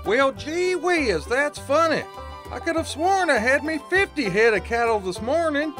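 Woman as bewildered frontier rancher. High, animated, folksy, audio drama. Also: unhurried, music in the background. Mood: puzzled. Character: bewildered, folksy old-west cattle rancher.

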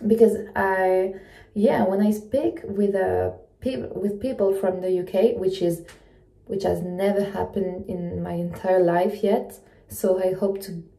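A woman chats casually to camera, haltingly searching for words in English. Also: medium pitch, steady tone, vocal fry, warm tone. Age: 20s. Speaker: travel vlogger. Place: small indoor room at night. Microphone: phone propped in front of her. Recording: echoey small room.

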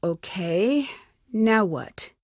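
A curious young American sleuth from an adventure game. She thinks aloud in short, wry, plucky remarks. The voice sits at a medium pitch and is recorded dry and close. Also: animated, extremely slow, darkish tone, no room sound. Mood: curious, focused. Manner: wry, self-talking, crisp short remarks. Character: curious, plucky young female amateur detective. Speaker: voice actor in a detective adventure game. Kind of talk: video game character lines.

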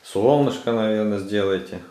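A man's voice: on the low side, normal speed, mellow. A man chatting casually as he guides young children, encouraging and instructive, often checking with short questions. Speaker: father filming children's craft activity. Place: small furnished room at home. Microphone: camera mic, speaker off screen. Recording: roomy indoor echo.

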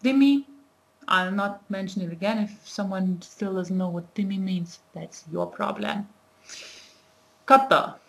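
A woman's voice: mid-range voice, measured pace, vocal fry, a bit bright. A young woman in her twenties giving a casual language lesson to a webcam in a small room, talking in a chatty, thinking-aloud way with many fillers. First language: not Nepali. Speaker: language teacher. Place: small indoor room, plain wall. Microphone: webcam at desk distance.

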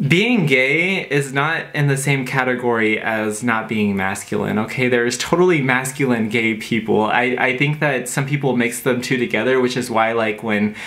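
Twenties guy vlogging in his bedroom, says like a ton, drags his words out, all animated. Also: mid-range voice. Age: 20s. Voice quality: lighthearted, drawn-out words, says "like" constantly. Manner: animated, gestures with hands while talking. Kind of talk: casual opinion vlog to camera. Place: bedroom with posters, indoors.

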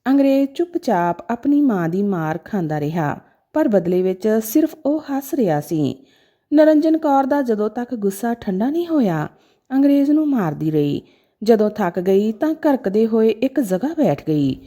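A woman's voice: fairly high pitch, big pitch swings, normal speed, warm tone. A woman narrating a scripted, sentimental story in a dramatic storytelling style.

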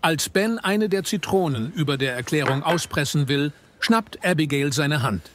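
Higher-pitched, animated male narrator. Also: normal speed, background noise. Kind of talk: scripted audio drama narration. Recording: narration over loud film music.

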